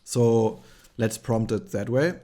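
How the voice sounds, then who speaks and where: A man with a German accent hosting a relaxed screen-share tutorial, thinking aloud with frequent ums and likes. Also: mid-range voice, slow pace. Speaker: tutorial host.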